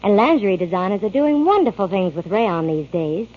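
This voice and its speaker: A woman's voice: mid-range voice, animated, fairly quick. A woman delivering scripted ad dialogue as a 1940s radio spokeswoman. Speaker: commercial spokeswoman. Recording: muffled 1940s radio broadcast.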